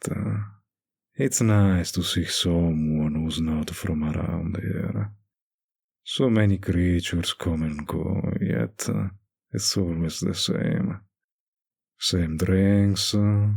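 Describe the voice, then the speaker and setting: Man playing a slow, gravelly, teasing vampire bartender. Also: low-pitched, a little mellow, heavily acted. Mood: bored, flirtatious. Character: suave teasing male vampire bartender, world-weary, centuries old. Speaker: audio roleplay voice actor. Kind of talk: intimate scripted roleplay addressed to the listener.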